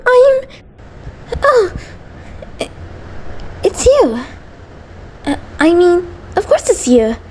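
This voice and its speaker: A woman's voice: extremely high pitch, darkish tone, exaggerated voice. A woman playing an anxious, affectionate female centipede monster, nervous, stammering and apologetic, in an intimate roleplay audio drama. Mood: panicked, threatening, then flustered, relieved.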